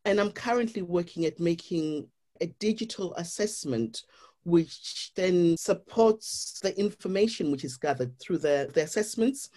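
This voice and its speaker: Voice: medium pitch, unhurried, a little mellow. A guest with a Zimbabwean accent, talking earnestly in an interview and explaining ideas step by step with many "you know"s. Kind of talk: reflective interview talk.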